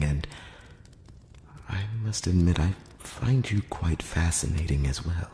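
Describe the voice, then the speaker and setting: A man playing a courteous, curious elf prince in an intimate ASMR fantasy roleplay, speaking softly and formally with some hesitation, close to the mic. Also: sits low. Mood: gently curious. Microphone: close-up microphone. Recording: roomy long reverb, background hiss.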